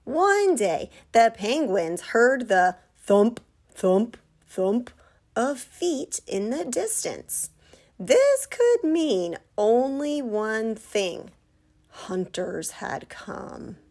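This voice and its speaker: A woman reading a children's storybook aloud like a kindergarten teacher, expressively, pausing to put questions to young listeners. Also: fairly high pitch, very animated, a little mellow.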